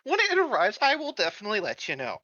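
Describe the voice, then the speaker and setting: Male RPG player, animated and bright, stammering delivery, dry slightly dull audio. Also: high voice, no room sound. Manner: halting, stammering, repeats first syllables. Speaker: tabletop roleplaying game player. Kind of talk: improvised in-character tabletop roleplay.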